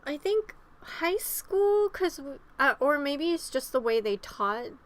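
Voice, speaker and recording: A woman's voice: high-pitched, big pitch swings, slow pace, fairly bright tone. A young woman from Los Angeles, co-hosting a podcast in casual chat, rambling with fillers and restarts. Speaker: podcast co-host.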